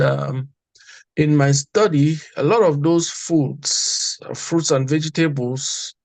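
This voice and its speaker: A man telling a story and making his point in a casual group talk, earnest and growing animated. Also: a little high, dry recording. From Cameroon. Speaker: guest.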